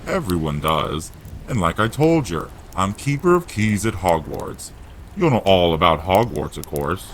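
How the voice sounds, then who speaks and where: A man voicing a huge, blustering, protective giant in an audio drama, growling and booming in rough rustic speech. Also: very animated, a little slow, slight background noise. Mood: gruff, affronted.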